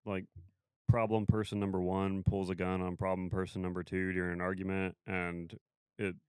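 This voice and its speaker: A man with a very deep, smooth, resonant voice hosts a podcast and chats casually about police work. He talks in a relaxed way with many fillers. The sound is dry and close. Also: normal speed, no echo. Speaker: podcast host and police officer. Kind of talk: casual interview chat. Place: podcast studio.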